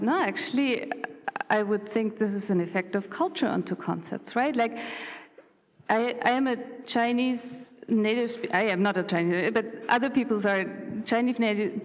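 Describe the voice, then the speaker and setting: Hesitant woman asking from the hall floor. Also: medium pitch. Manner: polite, hesitant, many fillers. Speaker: audience member asking a question. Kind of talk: conference Q&A question. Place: university lecture hall. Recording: reverberant lecture hall, mic at a distance.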